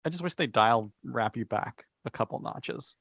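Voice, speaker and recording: A man's voice: medium pitch, expressive, moderate pace, fairly bright tone, slightly rough, no room sound, studio quality. A man talking casually as a panelist in a podcast discussion.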